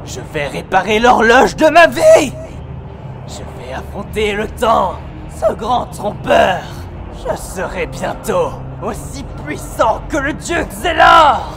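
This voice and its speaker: A man's voice: sits high, sing-song, slow pace, creaky, raised voice, music in the background, exaggerated voice. A man playing a grief-mad father turned megalomaniac sorcerer in a cartoon fan dub, theatrical, between brooding and ranting. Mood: manic, exalted. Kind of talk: animated series fan dub.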